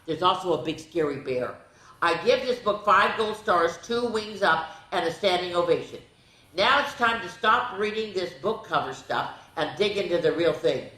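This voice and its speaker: A reader voicing an excitable little girl bird from a picture book who never stops talking. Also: medium pitch, normal speed, reverberant, pitched above the performer's own voice, low-quality recording. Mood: excited. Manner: bubbly, nonstop talker. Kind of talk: children's picture-book reading.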